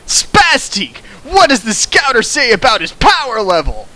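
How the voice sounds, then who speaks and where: Young male host, comedic dramatic reading, bright and lively, goes high for jokes. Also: measured pace, no echo, poor audio. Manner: animated, jumps in pitch for jokes and sound effects. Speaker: host reading a fan fiction aloud.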